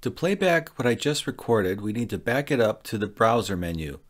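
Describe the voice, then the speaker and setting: A man's voice: on the low side, sing-song, brisk. A man presenting a product review as scripted, step-by-step narration. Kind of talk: scripted narration of a gear demonstration.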